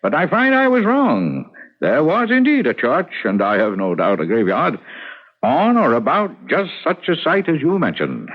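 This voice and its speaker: Husky, animated country reverend. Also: male voice, fairly high pitch, moderate pace. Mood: puzzled, apologetic. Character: genial, puzzled country reverend, courteous and scholarly. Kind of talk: radio drama acting. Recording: muffled old radio recording.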